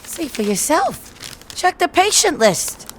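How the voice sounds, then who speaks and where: A woman's voice: sits high, big pitch swings, slow, slight background noise, exaggerated voice. A woman voicing a brash, loyal, odd-ball raccoon nurse in an audio drama. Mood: eager.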